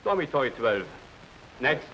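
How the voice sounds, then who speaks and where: A man's voice: medium pitch, very animated, measured pace, sharp tone, highs cut off, slight background noise. An actor playing a curt male camp intake clerk who barks out questions in a clipped, bored way. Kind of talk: 1950s TV drama acting.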